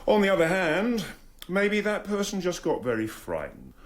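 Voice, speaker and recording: A man acting a dogged, sarcastic English police inspector in a radio drama, questioning with measured, mock-polite pressure. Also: sits high, huge pitch swings. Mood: sly pressure.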